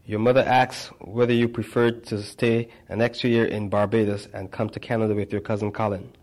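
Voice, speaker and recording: A caring older grandmother from a storybook, as a man reads her out in his own voice. She speaks gently, low and fairly flat in tone. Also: brisk, natural voice. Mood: gentle. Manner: read aloud plainly in the narrator's own voice.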